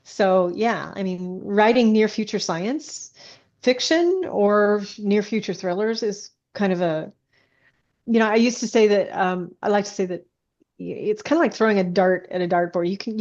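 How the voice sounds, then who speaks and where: A woman's voice: medium pitch, a little mellow. Woman thinking aloud, slightly dull audio. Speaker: guest science fiction author. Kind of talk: casual interview chat.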